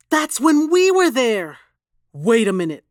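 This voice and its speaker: A woman's voice: a little high, very animated, slow, no echo, studio quality. A woman playing an earnest, curious child adventurer in an audio drama, speaking with a sense of wonder. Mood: amazed.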